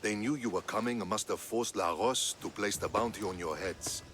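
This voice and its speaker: An actor playing a measured, principled male Spartan commander in a game cutscene who argues calmly and persuasively. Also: fairly low pitch, slight background noise, lightly acted. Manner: calm, persuasive. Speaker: Spartan general.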